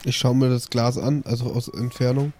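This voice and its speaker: A man's voice: medium pitch, flat delivery, fairly quick, darkish tone. A man chatting casually as a player in an improvised role-playing game.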